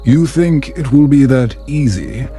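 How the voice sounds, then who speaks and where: Young noblewoman in a fantasy tale, voiced by a male narrator: guarded, quiet, courteous, mellow and slow. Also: medium pitch, soft background music, close to an everyday voice. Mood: doubtful, mumbling.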